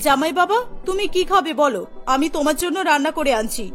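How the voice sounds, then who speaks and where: A high, bright, middle-aged cartoon mother-in-law, as a woman acts her out. She fusses anxiously and frets over everything. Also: lively intonation, normal speed, faint music behind. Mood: fussing. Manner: fretful, fussy. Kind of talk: cartoon story dubbing.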